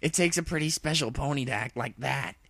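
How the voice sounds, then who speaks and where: A man's voice: mid-range voice, fairly quick, creaky, pushed and bright, no room sound, pitched above the performer's own voice. A man voicing a brash, casual, cheeky female pony in a comic story reading. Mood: amused, cheeky.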